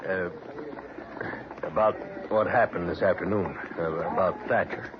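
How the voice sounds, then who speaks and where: An actor playing an uneasy, defensive cowboy, hesitant and halting, in an old radio western. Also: male voice, deep voice, fairly even intonation. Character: defensive, uneasy cowboy who lost a race. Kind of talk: 1950s radio western acting. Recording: muffled, noisy old radio recording.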